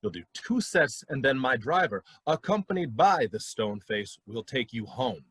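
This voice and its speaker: An actor in a radio play as a gruff, skeptical, soft-hearted cabaret boss with a husky voice and a curt, sardonic manner. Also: male voice, medium pitch, big pitch swings, normal speed, no room sound. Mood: businesslike, softening.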